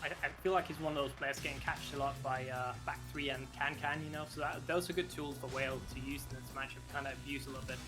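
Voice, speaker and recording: A man giving measured, analytical commentary on a fighting-game tournament. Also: medium pitch, steady tone, music in the background. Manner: analytical, measured, many fillers. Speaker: esports co-commentator.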